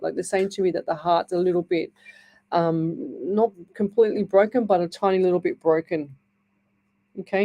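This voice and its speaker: Australian woman radio host, low mellow voice, throat dry and scratchy, rambling chatty delivery. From Northern Ireland. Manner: chatty, rambling, warm-hearted reassurance. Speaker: radio psychic medium host. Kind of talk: live radio chat reading messages. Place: radio studio.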